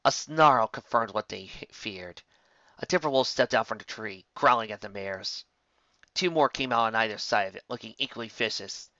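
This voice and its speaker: A man's voice: fairly low pitch, normal speed, bright, forceful tone, no echo. A man narrating a fan fiction story expressively, stumbling over words now and then, on a dull lo-fi recording.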